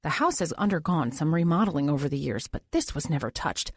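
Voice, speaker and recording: A woman's voice: deep voice, animated, fairly quick, husky, no room sound, studio quality, full character voice. A woman voicing a creepy, eager, doting man who holds someone captive, in audiobook dialogue. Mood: proud, doting. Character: creepy, eager, doting adult male captor.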